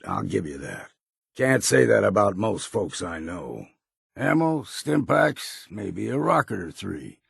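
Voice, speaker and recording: An actor playing a gruff old hard-drinking island hunter in a video game, with a gravelly, husky, folksy voice. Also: male voice, medium pitch, fairly bright tone, no room sound, studio quality, exaggerated voice. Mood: wry, muttering. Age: older. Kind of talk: video game companion dialogue.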